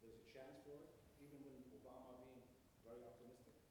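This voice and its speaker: A man in his fifties from Acre, Israel, asking a question from the audience in an earnest, halting way. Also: level tone, dark tone. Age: 50s. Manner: earnest, halting, searching for words. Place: large lecture hall, far from the mic. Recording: distant, echoey, with rumble.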